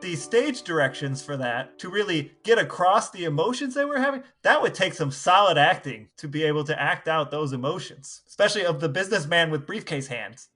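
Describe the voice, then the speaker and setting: Bright-voiced man in casual podcast chat. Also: fairly high pitch, animated, brisk, few pauses, faint music behind. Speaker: podcast co-host.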